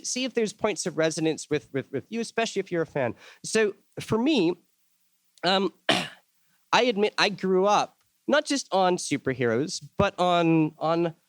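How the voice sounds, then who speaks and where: Guy raised in el salvador giving a workshop talk, kinda high voice, keeps repeating words, dry close sound. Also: lively intonation, measured pace, no echo. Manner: enthusiastic, repeats words while thinking, acts out quoted voices. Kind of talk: workshop talk to a live audience.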